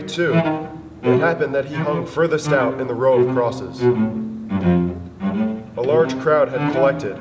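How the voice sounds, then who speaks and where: A man narrating a dramatic literary reading, solemn and measured in delivery. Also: mid-range voice. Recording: long hall reverb, music over voice. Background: string music.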